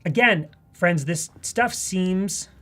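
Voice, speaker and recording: A woman's voice: on the low side, takes it slowly, fairly bright tone. Chatty, enthusiastic Canadian woman presenting. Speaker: presenter of a live software tutorial. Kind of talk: live demonstration, casual and conversational.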